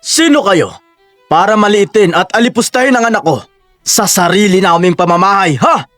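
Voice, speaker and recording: A man's voice: high-pitched, lively intonation, normal speed, fairly bright tone, no echo, soft background music. Man playing a proud, protective old farmer. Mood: enraged.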